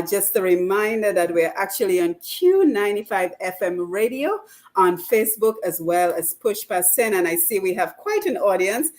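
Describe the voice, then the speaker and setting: A woman's voice: medium pitch, darkish tone. A woman hosting a radio talk show, posing questions to her guests and reading out viewer comments. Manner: reads out viewer comments, hands the floor to guests.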